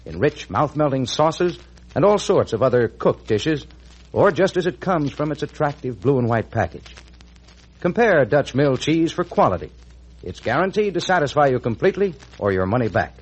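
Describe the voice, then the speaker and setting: A man's voice: fairly high pitch, very animated, moderate pace, slightly rough, low-quality recording. An American man reading scripted announcements and narration in the style of 1940s radio. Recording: old 1940s radio recording.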